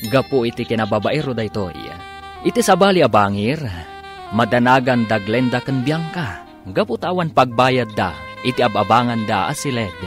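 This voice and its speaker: A man's voice: mid-range voice, lively intonation, normal speed. A man giving dramatic scripted narration as the announcer of an Ilocano radio drama. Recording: narration over prominent musical score. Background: dramatic music.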